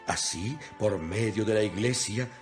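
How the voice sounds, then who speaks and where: A man's voice: lowish voice, a little slow, close to an everyday voice. A man playing an imprisoned apostle in a dramatized scripture reading, speaking solemnly and earnestly with emphasis. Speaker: Bible narrator. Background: music.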